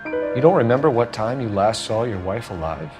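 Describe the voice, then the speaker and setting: Stern homicide detective played by a man, lively accusing delivery, faint music, game dialogue. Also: medium pitch, moderate pace, close to an everyday voice. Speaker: lead detective.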